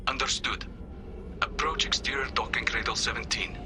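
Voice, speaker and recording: A man's voice: medium pitch, normal speed, over music. A man playing a terse, official alien fleet traffic controller in a video game, heard through a radio comm filter. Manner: clipped, official. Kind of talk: video game cutscene acting.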